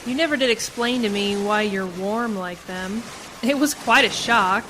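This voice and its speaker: A woman's voice: medium pitch, normal speed, lightly acted. A woman playing a defiant, bitter prisoner in a sci-fi radio play, wary and pointed in her questioning. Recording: noisy community radio drama recording.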